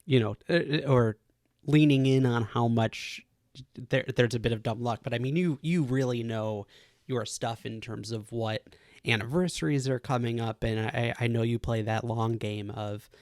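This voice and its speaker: A man with a mid-pitched voice hosts a casual podcast interview. He speaks effusively and admiringly to his guest. The sound is dry, with very little room to it. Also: normal speed, a little mellow, no echo.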